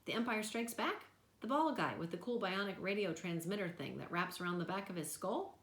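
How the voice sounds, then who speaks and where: A woman's voice: mid-range voice, lively intonation, brisk, fairly bright tone. Lady playing a jokey friendly guy doctor from a storybook, chummy, echoey room. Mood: amused, jokey. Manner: chummy, explanatory. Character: easygoing, upbeat male ear doctor, jokey and reassuring.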